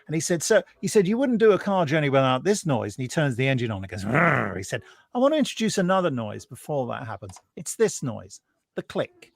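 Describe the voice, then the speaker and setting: A British man co-hosting a podcast, recounting things in casual chat as an animated storyteller. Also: mid-range voice, brisk, gravelly, no echo. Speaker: podcast co-host.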